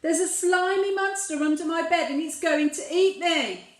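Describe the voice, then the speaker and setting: A woman's voice: very high-pitched, moderate pace, roomy sound, pitched above the performer's own voice. A woman voicing a young, anxious bear cub in a storybook, crying out and squealing in fright. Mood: panicked.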